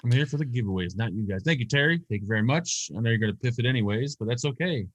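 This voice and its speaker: A man's voice: mid-range voice, expressive, racing, low-quality recording. A man co-hosting a live online show, trading teasing jokes in casual banter. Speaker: co-host of a live vape show. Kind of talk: casual live-stream chat.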